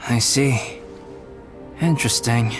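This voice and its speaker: A man playing a calm, analytical young male alchemist in a video game, measured, formal and detached, with music behind. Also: medium pitch, natural voice. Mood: pensive.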